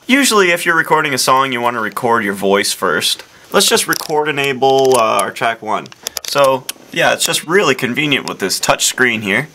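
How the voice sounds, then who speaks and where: A young man earnestly presenting a parody software demo, rambling with lots of fillers. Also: medium pitch, big pitch swings, normal speed, slight background noise. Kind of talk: deadpan parody product demo.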